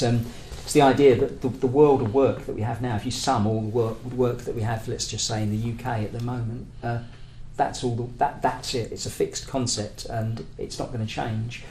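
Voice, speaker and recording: The recording is echoey, with faint noise behind the voice. A British man speaks at a medium pitch as a union speaker making a political argument. His delivery is discursive and hesitant, often feeling for the right word. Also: darkish tone. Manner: hesitant, searching for words, discursive. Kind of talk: conference talk, semi-prepared political argument.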